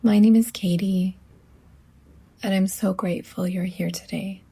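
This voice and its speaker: A woman guiding a relaxation meditation as a yoga teacher, in a hushed, breathy near-whisper, gently and with long pauses between phrases. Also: medium pitch, fairly even intonation.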